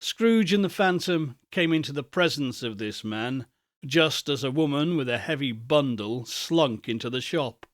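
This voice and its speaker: A man reading a classic ghost story aloud as an audiobook, in a measured, expressive storytelling manner. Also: medium pitch, no echo, studio quality. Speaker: audiobook narrator. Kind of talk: scripted narration of a classic novel.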